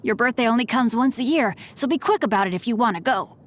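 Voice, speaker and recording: A woman's voice: a little high, animated, quick pace, close to an everyday voice. Woman playing polite, playful young scholar-knight for game lines, faint noise behind. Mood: polite, composed. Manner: polite, formal and gently teasing. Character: refined young male swordsman scholar, courteous, playful.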